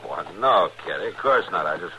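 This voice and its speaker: An actor playing a stoic, gruff US marshal in an old radio western, with a dry manner and an American accent. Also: male voice, low-pitched, lively intonation, a little fast. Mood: easygoing. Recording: muffled, noisy old radio recording.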